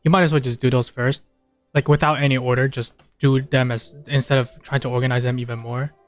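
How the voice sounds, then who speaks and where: A man's voice: medium pitch, little pitch movement, a little fast, soft background music. A man giving casual, rambling commentary as he streams a game, in an offhand way. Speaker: gameplay streamer.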